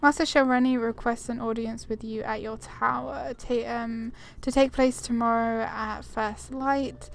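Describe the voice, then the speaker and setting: A woman's voice: on the high side, fairly even intonation, measured pace, gravelly, few pauses, slight background noise. A woman playing through a game, reading dialogue aloud and commenting in a playful, informal way. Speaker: gamer.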